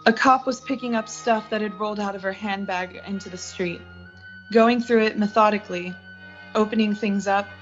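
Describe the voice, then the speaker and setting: A woman's voice: mid-range voice, steady tone, normal speed, natural voice. A young woman acting an ambitious, unsentimental art director who narrates her own story in a radio suspense play. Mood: grim, reflective. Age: young adult. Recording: muffled lo-fi recording with music.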